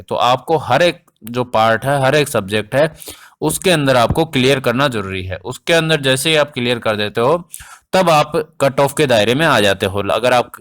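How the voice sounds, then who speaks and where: भारतीय लहजे में समझाता पुरुष शिक्षक. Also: male voice, medium pitch, a bit bright. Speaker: exam coaching teacher and presenter. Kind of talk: informal exam update explainer addressed to students.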